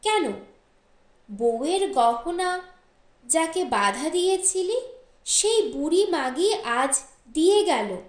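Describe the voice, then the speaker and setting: A woman's voice: very high-pitched, lively intonation, takes it slowly, long pauses, full character voice. A woman voicing a doting, plain-spoken mother of a grown son in an audiobook story, emphatic and maternal. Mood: explaining, emphatic. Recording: roomy echo.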